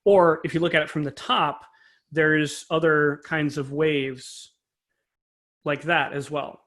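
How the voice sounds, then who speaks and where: Male interview guest, somewhat high pitch, analytical, explanatory, careful wording, informal technical talk. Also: steady tone, slow pace, a bit bright. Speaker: interview guest, composer and conductor.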